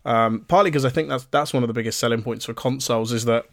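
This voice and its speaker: A man's voice: mid-range voice, fast, no room sound, clean studio sound. A man co-hosting a podcast, chatting casually with plenty of ums and restarts while he is losing his voice a little. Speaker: podcast co-host.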